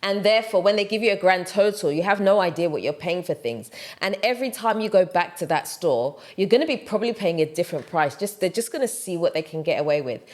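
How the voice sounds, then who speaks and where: A woman's voice: on the low side, animated, fast talker, hardly any pauses. A woman talking straight to camera in a casual advice monologue, direct and emphatic, repeating her points for stress. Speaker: vlogger giving advice.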